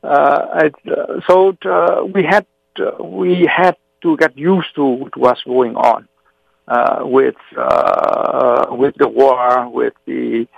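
A middle-aged man from South Vietnam, a native Vietnamese speaker, telling his life story as an interview guest, pausing often with "uh". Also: medium pitch, fairly even intonation, creaky, no room sound, poor audio. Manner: frequent "uh" fillers, halting.

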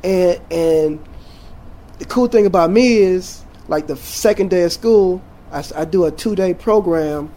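A man in his forties from Jamaica, a teacher, talking in a casual interview, relaxed and rambling with many fillers and restarts. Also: sits high, unhurried.

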